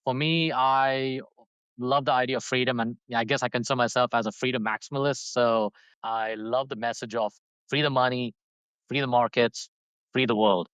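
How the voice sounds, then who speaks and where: A man's voice: medium pitch, fairly even intonation, moderate pace, fairly bright tone, smooth, dry recording, highs cut off. A man from Dubai in his thirties, a podcast guest talking casually and rambling, with lots of fillers and restarts. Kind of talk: casual interview talk.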